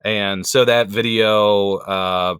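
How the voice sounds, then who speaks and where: A man's voice: fairly low pitch, fairly even intonation, takes it slowly, fairly bright tone, clear, resonant tone, dry recording, studio quality. A man talking as a podcast guest, easygoing and thoughtful, with frequent fillers. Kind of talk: casual chat.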